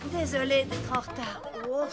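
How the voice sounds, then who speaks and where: A woman's voice: high voice, normal speed, close to an everyday voice. A woman voicing a hardworking, stubborn, folksy young farm pony in a children's cartoon. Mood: flustered, apologetic. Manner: folksy, plain-spoken, headstrong. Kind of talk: French-dubbed children's cartoon acting. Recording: music bed behind the voice.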